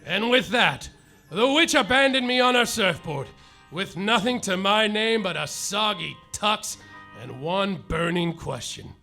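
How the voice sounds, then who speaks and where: A melodramatic noir detective. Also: male voice, high voice, expressive, measured pace, gravelly, pushed and bright, faint music behind, full character voice. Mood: mopey. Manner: melodramatic noir narration, overwrought. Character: hapless hard-boiled male private detective. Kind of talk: live comedy radio play. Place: theater stage, live.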